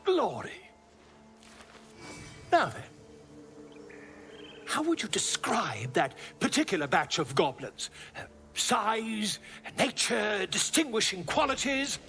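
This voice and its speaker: A man's voice: high voice, big pitch swings, slow, creaky, pauses often, pushed and bright, soft background music, heavily acted. An actor playing a nosy, theatrical male chronicler in a fantasy game, with pompous, florid, eager delivery. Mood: eager, fussy.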